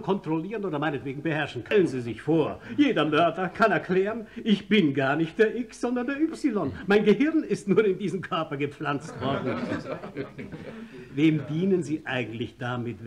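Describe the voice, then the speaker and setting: Radio play politician, male actor: smug authoritarian man, rhetorical and sardonic, voice pitched a little high. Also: close to an everyday voice. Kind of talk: German radio play acting.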